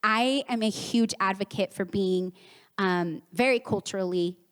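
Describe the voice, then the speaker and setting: Woman therapist, first language Spanish, conversational conference talk, middle pitch, long hall reverb. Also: a little slow, clean tone. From United States, Mexican home. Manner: warm conversational with acted-out quotes and laughs. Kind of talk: conference presentation.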